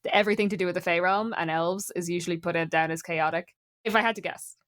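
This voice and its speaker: A woman's voice: fairly low pitch, steady tone, fast, fairly bright tone, no echo, studio quality. A woman talking casually about folklore and games, opinionated and given to rambling asides.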